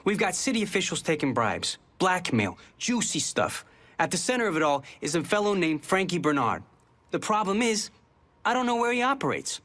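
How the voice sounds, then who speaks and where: An actor playing a cheeky, fast-talking muckraking reporter in a video game, wry and flippant. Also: male voice, high-pitched, sing-song, a bit bright. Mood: wry, eager. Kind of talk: video game cutscene acting.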